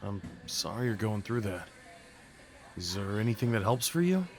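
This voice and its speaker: A man acting a big, sweet, slightly nervous date in an ASMR roleplay, with playful teasing, boyish enthusiasm and soft hesitations. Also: lowish voice, very animated, normal speed. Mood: gently sympathetic. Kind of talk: ASMR roleplay audio drama, one-sided conversation.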